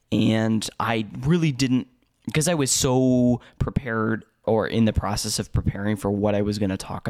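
A man's voice: lowish voice, gravelly, darkish tone, no echo, studio quality. A young American man co-hosting a podcast, telling stories casually with lots of fillers and laughs. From United States. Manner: storytelling, chuckling often, many fillers and restarts. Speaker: podcast co-host. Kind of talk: casual chat.

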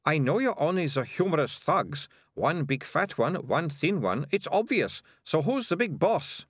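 Guy doing a high pitched lippy little girl, snappy and accusing, storybook know-it-all. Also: sing-song, normal speed, breathy edge, no room sound, studio quality. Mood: triumphant. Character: bossy, lippy, triumphant young girl.

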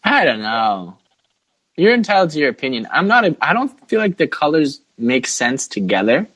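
A man's voice: a little high, big pitch swings, moderate pace, fairly bright tone, slightly rough, no room sound. A man hosting a podcast with casual sports banter and a playful, teasing manner. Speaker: podcast host.

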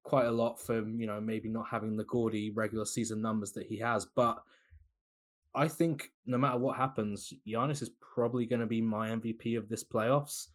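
A man's voice: fairly low pitch, fairly even intonation, normal speed. Laid-back man breaking down basketball. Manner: loose, opinionated, laughs between points. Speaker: podcast guest analyst. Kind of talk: casual basketball chat.

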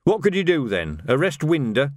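A man's voice: a little high, very animated, normal speed, dry recording, studio quality. A man voicing a hard-headed, defiant city policeman in an audiobook, blunt and argumentative. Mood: scornful, defiant.

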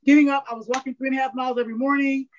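A woman guest in a casual interview, raspy and candid, rambling openly about herself. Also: high voice, flat delivery, rapid-fire. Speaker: podcast guest. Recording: dull lo-fi audio.

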